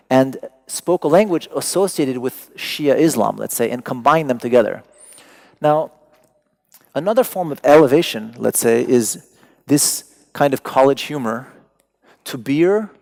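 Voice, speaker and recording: A man, a native Persian speaker, giving a talk to an audience, wry and digressive with joking asides. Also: medium pitch. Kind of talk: lecture to an audience.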